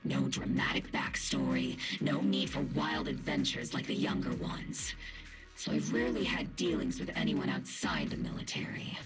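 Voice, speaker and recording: A woman playing a gruff, by-the-book veteran squad captain in a game, blunt and no-nonsense. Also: deep voice, huge pitch swings, moderate pace, music in the background, full character voice. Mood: wary, skeptical. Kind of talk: dramatic game dialogue.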